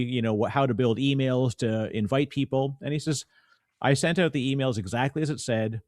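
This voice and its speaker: A man's voice: medium pitch, no echo, clean studio sound. A man from Canada hosting a podcast, chatting casually and telling stories with animation and easy laughter. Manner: animated storyteller, laughs easily. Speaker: podcast host. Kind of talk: casual conversational podcast chat.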